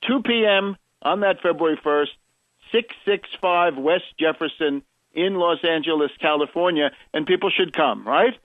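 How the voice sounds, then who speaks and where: A man hosting a political radio show, interviewing conversationally and deliberately restating points to check them. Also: a little high, no room sound. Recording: slightly dull radio broadcast sound.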